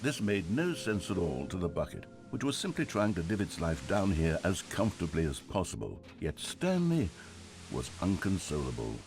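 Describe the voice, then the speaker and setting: An actor playing a wry, theatrical storybook narrator in a video game. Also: male voice, low voice, wildly swooping pitch, normal speed, a little mellow, full character voice. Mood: wry, dramatic. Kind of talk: scripted narration.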